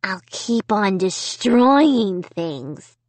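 A woman voicing a cruel, commanding living ventriloquist dummy in a children's horror audiobook, harsh, raspy and whispery, with slow, gloating menace. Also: mid-range voice, sing-song, a little mellow, no room sound, full character voice. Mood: menacing.

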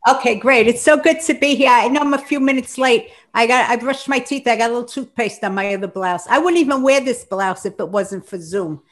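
A middle-aged woman doing chatty, brash, self-deprecating stand-up over a video call. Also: on the high side. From the Bronx, New York. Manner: chatty, brash, quick punchlines. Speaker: stand-up comic. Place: home room indoors. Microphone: webcam on a video call.

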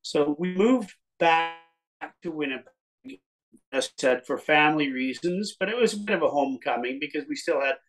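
An older Canadian-raised man talking in a relaxed, rambling way with many fillers, as an interview guest on a video call from a cottage. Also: fairly high pitch, normal speed, rough recording. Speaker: guest musician. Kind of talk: casual interview chat. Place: cottage room, indoors. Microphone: video call webcam.

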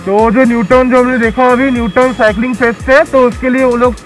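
A man's voice: very high-pitched, fairly even intonation, fast talker, faint music behind. A man chatting casually while riding a motorbike through city traffic. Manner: chatty, mixes Hindi and English. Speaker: motovlogger. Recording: wind and engine noise. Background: traffic and engine.

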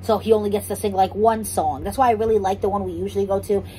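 A woman's voice: mid-range voice, steady tone, fast, mellow, soft background music, poor audio. A young woman chatting casually to her vlog audience at home, talking loosely with lots of "like" and restarts. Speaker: vlogger. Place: home kitchen.

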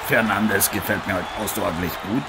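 A man playing a proud, cocky heavyweight boxing champion in an improvised radio-play interview, halting and self-important. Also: low voice, over a noisy crowd. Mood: gracious. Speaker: guest athlete being interviewed. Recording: noisy, with arena ambience behind.

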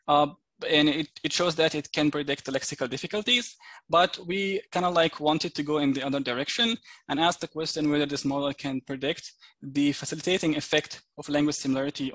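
A man giving an academic lecture over slides, pausing often with fillers and restarting his sentences. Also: a little high, a bit bright, no room sound. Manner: hesitant, many fillers and restarts.

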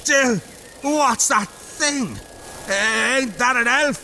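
Shrill, hot-tempered village oaf. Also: male voice, drawn-out words, slight background noise, full character voice. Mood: gruff, irritated. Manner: shouting, whining, sobbing outbursts. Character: crude, boastful, cowardly village father, hot-tempered. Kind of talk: dramatic comic dub acting. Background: music.

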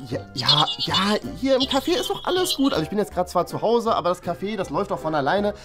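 A man's voice: high-pitched, big pitch swings, fast, fairly bright tone, soft background music. A young man playing a blustering, flustered middle-aged father in an improvised family sketch, stammering as he goes. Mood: reassuring. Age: young adult.

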